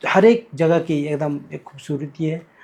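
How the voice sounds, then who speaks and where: A man's voice: fairly high pitch, measured pace, darkish tone. A man from Bihar, a guest teacher in a podcast conversation, explaining verses emphatically and switching between Hindi and Marathi. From Bihar, India. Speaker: guest teacher, academy cofounder.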